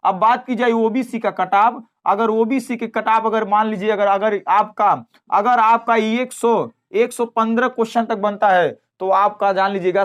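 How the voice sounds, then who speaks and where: A teacher explains informally and emphatically, repeating phrases and checking that listeners follow. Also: mid-range voice. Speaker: math teacher at a coaching class. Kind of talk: informal exam cut-off explainer.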